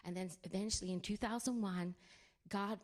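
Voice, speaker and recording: A middle-aged woman from Sweden with a Swedish accent, giving an earnest, halting church testimony full of fillers and restarts. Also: mid-range voice, steady tone, a little mellow.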